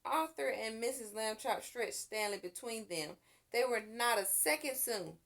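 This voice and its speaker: Female storyteller for kids' picture books, expressive, fairly high voice with lively swings. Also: unhurried. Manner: expressive, with character voices and asides to listeners. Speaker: children's story reader. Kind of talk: read-aloud children's storytelling.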